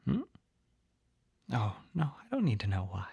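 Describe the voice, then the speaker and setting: An actor voicing a shy, flustered, gentle poison-selling apothecary in a soft, breathy, hesitant whisper for an ASMR roleplay. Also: mid-range voice, wildly swooping pitch, no echo, full character voice. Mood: gentle, helpful. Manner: hesitant, halting, apologetic, easily embarrassed.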